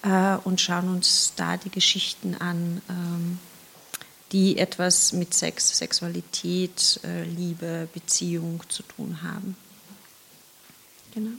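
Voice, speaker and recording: A woman's voice: fairly low pitch, monotone, takes it slowly, vocal fry, a bit bright, husky. A woman theatre director answering interview questions in a hesitant way, with many filler sounds. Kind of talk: podcast interview guest.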